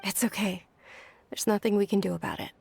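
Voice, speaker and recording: A woman playing a frail, ailing young noblewoman in a video game, speaking softly, politely and with gentle resignation. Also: medium pitch, steady tone, fairly quick, vocal fry, breathy edge. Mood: sad, subdued. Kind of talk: video game character dialogue.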